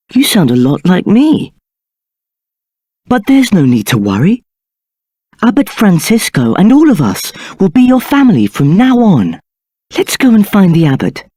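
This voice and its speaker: An actor playing a kind, earnest young male novice monk in a video game, speaking gently and reassuringly. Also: high voice, husky, no room sound, studio quality, heavily acted.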